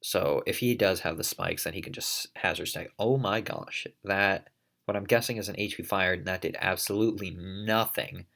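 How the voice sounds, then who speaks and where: A man with a very low, gravelly voice calls a competitive online battle in loose play-by-play. He sounds congested, coughs now and then and hedges his calls as he thinks aloud. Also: normal speed, fairly bright tone. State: congested from allergies. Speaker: league commentator. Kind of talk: casual play-by-play game commentary.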